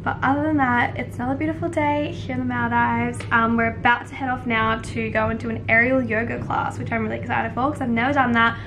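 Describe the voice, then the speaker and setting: A bubbly young woman talks straight into a camera she holds at arm's length, her voice sitting a little high. She is chatty and quick to laugh. Noisy outdoor camera sound runs under her voice. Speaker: vlogger. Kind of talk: casual travel vlog to camera. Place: tropical resort, outdoors and hotel room. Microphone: camera held at arm's length.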